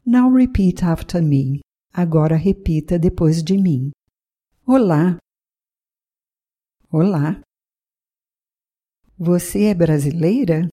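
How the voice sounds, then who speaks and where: A woman from Brazil leads a beginner language lesson, saying each phrase in two languages with slow, careful enunciation. Her voice sits low and mellow, and her teacherly delivery stays clear and even. Nothing in her reading is rushed. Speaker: language teacher and podcast host. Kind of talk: scripted bilingual language lesson.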